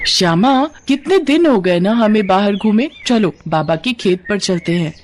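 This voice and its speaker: A woman voicing an affectionate, playful little girl in a storybook tale, speaking in a higher, lively voice. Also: normal speed, slight background noise, natural voice. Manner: high, lively and warm-hearted.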